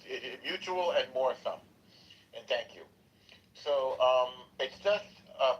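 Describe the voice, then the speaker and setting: A man hosting a political call-in discussion, slow and rambling with frequent halting pauses. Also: medium pitch, fairly bright tone, lo-fi sound, a little hiss or hum.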